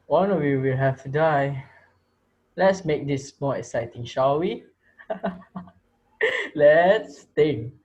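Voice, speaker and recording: A man plays a menacing schoolteacher turned captor in a reader's theater drama. His voice sits fairly high for a man, and he toys with his victims in a mocking, taunting way. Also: lively intonation, extremely slow, pauses often, a little mellow, dull audio. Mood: gleeful menace. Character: menacing male teacher holding his class hostage, mocking, taunting. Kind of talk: amateur reader's theater acting.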